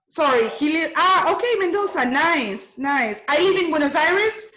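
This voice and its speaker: Bright, high-voiced Canadian woman, echoey room. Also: expressive, moderate pace. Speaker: interview guest. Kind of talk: casual conversation on a call.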